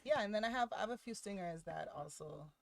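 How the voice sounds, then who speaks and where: A woman's voice: expressive, quick pace, gravelly. A woman, a hip hop artist answering interview questions in an easygoing, confident way. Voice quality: deep voice.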